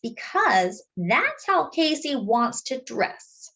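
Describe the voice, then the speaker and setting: A calm, accepting mother from a storybook, voiced by a woman reader. She speaks gently and slowly, with assurance. Her pitch is on the high side. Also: full character voice. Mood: calm, reassuring.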